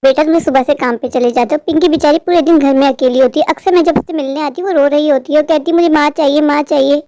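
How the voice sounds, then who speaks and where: A woman's voice: sits high, steady tone, fast talker, mellow, dry recording, close to an everyday voice. Woman playing caring persuasive aunty, dry sound. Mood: earnest persuading. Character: caring, persuasive older neighbourhood aunty matchmaker. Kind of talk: Hindi cartoon dialogue.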